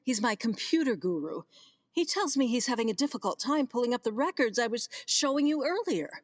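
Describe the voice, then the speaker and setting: A woman's voice: on the high side, expressive, a little fast, no room sound, studio quality. A woman acting a gracious, commanding, flirtatious vineyard owner with genteel, theatrical emphasis in a comedic radio play. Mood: confiding.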